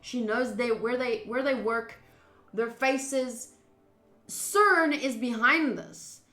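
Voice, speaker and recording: A woman's voice: fairly high pitch, takes it slowly, sharp tone, reverberant. A woman streamer doing a game playthrough, reading text aloud and adding casual commentary.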